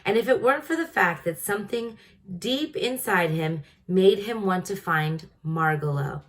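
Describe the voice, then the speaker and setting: A woman's voice: mid-range voice, animated, moderate pace. A woman reading a children's story aloud, adding informal, chatty asides to her listeners.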